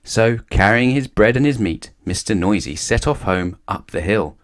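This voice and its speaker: Low-voiced, expressive male storybook narrator. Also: moderate pace. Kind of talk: expressive children's read-aloud.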